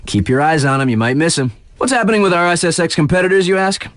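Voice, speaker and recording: An actor playing a laid-back, hip radio DJ in a video game, covering snowboard competitions with breezy, slangy commentary and chatty, hesitant asides. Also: male voice, a little high, fast talker, fairly bright tone, no room sound, natural voice. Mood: upbeat, hyping. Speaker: radio DJ announcer. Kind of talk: scripted radio sports commentary with casual ad-libbed asides.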